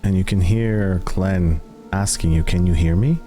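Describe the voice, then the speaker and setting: A man narrating a tabletop roleplaying game as game master, with deliberate dramatic pauses. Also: low-pitched, mellow. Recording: background music under the voice.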